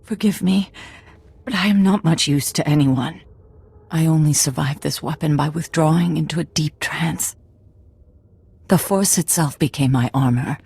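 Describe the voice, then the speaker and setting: An actress playing a weary, weakened Jedi Master in a video game, gracious and calm despite her exhaustion. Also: sits low, normal speed, gravelly, husky, no room sound, full character voice. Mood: exhausted, grateful. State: exhausted, weak. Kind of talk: video game dialogue acting.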